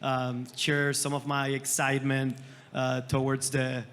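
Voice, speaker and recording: A man's voice: mid-range voice, completely flat delivery, measured pace, fairly bright tone. A man from Venezuela introducing himself as a panelist at a conference, upbeat, with frequent fillers. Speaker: panelist, startup founder. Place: conference stage.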